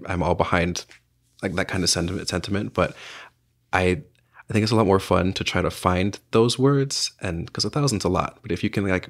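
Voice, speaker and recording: A young man with a low, gravelly voice talks through his thoughts slowly, trailing off and circling back with plenty of ums and "I don't know"s. He is from south Florida, grew up speaking Spanish first, and sounds like a guest in a podcast interview. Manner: reflective, hesitant with many fillers. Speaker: guest, writer and visual artist.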